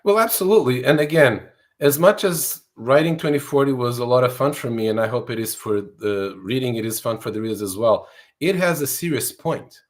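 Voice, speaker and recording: Guy in his 60s from portugal, author being interviewed, earnest, explains stuff, middle pitch. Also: animated, a little fast. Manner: earnest, explanatory, fluent with some restarts. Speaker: author and guest. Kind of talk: interview answers.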